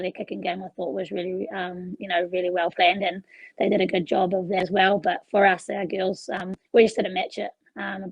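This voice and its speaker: New Zealand woman, hoarse, gravelly, flat delivery, dry close sound, casual chat. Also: mid-range voice, dry recording, low-quality recording. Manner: relaxed, chatty, frequent um and you know. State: losing her voice. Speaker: rugby player, guest.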